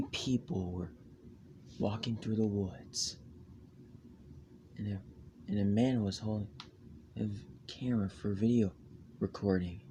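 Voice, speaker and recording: A man's voice: lowish voice, mellow, slight background noise. A man improvising an amateur campfire horror story with theatrical, ominous emphasis.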